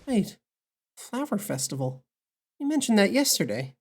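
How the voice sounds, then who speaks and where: A woman's voice: medium pitch, very animated, normal speed, noisy background. A woman playing a kind, wry young male familiarist who is easily flustered, talking casually and expressively in an audio drama. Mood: excited.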